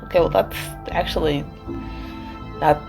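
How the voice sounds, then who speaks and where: A woman's voice: deep voice, flat delivery, a little slow, gravelly. A woman hosting a casual game playthrough, slangy and joking, talking back to the characters with music behind her. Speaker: gaming channel host. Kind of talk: casual game playthrough commentary, reading dialogue aloud.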